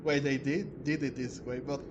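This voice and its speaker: A man hosting a game playthrough, commenting in an informal, halting, reactive way. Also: mid-range voice, level tone, lo-fi sound, noisy background. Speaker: gaming video host. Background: loud game music.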